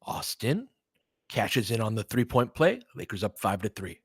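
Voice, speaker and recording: A man's voice: fairly low pitch, big pitch swings, moderate pace, husky, no room sound. Man calling live basketball, dry close sound. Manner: clipped phrases with informal fan-like asides. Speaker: play-by-play commentator and streamer. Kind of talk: casual live basketball play-by-play commentary.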